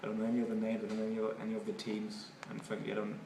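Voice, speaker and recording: A man from Germany with a German accent, a native German speaker, giving halting, thoughtful interview answers and often repeating words. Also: on the low side, monotone, mellow. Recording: echoey room, far from mic, noisy.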